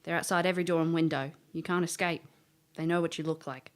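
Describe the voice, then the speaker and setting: A woman's voice: low voice, fairly even intonation, quick pace, lightly acted. An actress playing a world-weary female elf, a former thief, dry and blunt in an audio drama. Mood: firm, dry. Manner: dry, blunt, matter-of-fact.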